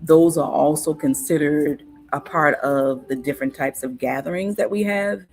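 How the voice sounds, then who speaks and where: A woman's voice: low voice, vocal fry, darkish tone. Easygoing woman. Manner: unhurried, informative, with frequent fillers and restarts. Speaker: guest on cultural topics. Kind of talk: casual conversational interview.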